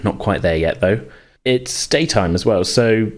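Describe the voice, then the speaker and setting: A man hosting as game master, narrating a tabletop roleplaying game in a measured way. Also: fairly low pitch. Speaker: game master, podcast host.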